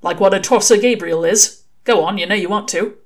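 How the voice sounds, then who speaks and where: A woman's voice: mid-range voice, a little fast, natural voice. Sardonic male demon, voiced by a woman: laconic, slangy, defiant, offhand, in a storybook reading. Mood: dry.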